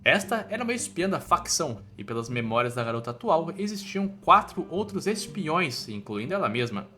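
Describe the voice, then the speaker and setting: A man's voice: fairly high pitch, big pitch swings, normal speed, sharp tone, faint music behind. A man with a Brazilian accent doing scripted story narration in an informal, playful way with slangy wording. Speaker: recap narrator.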